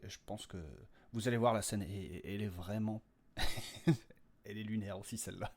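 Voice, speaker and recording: A man hosting his podcast, giving listeners a casual unscripted update, hesitant and thinking aloud. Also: on the low side. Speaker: podcast creator and host.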